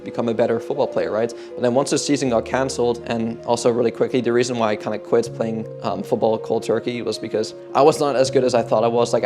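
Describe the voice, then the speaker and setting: A man in his twenties from the Netherlands, a fitness coach giving a casual motivational talk, rambling and filling his sentences with "like" and "kinda". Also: mid-range voice. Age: 20s. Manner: rambling, heavy use of "like" and "kinda". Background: guitar music.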